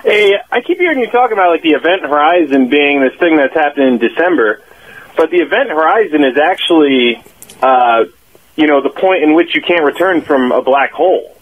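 A male caller with a somewhat high voice argues with the host and mocks him. He is heard over a radio phone line. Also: expressive, fairly quick. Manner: mocking, argumentative.

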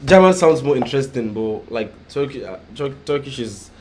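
A young man co-hosting a casual vlog chat, animated and quick to laugh, seated on a couch indoors. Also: mid-range voice, noisy background. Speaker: vlog co-host. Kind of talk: casual chat comparing countries.

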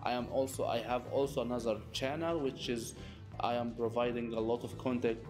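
A man whose first language is Arabic explains business tips to camera from a small office, earnest and repetitive, with small grammar slips. His voice sits at an ordinary middle pitch. Guitar music plays clearly underneath. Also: fairly even intonation, brisk. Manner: earnest, repeats phrases, non-native grammar slips. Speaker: business channel host. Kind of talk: presenting a masterclass to camera. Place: small office with lamps and chairs. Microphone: seated facing camera, medium shot.

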